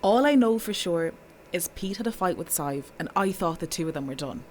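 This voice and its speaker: A woman's voice: lowish voice, expressive, normal speed, a little hiss or hum, natural voice. An actress playing a bitter, exasperated female witness in an audio drama, blunt and indignant. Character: bitter, exasperated woman giving a witness statement.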